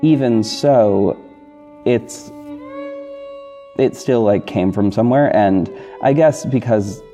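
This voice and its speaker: Hesitant man with a very mellow, dark voice. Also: fairly low pitch. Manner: hesitant, halting, self-interrupting. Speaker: podcast host, audio drama narrator and producer. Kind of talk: informal behind-the-scenes solo talk to listeners. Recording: soft guitar music bed under voice. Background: plucked guitar music.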